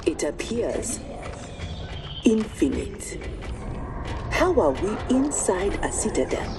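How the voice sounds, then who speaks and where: An actress playing an earnest, determined armored warrior in a video game cutscene, speaking directly. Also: female voice, medium pitch, sing-song, slow pace, noisy background. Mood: puzzled. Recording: music over the voice.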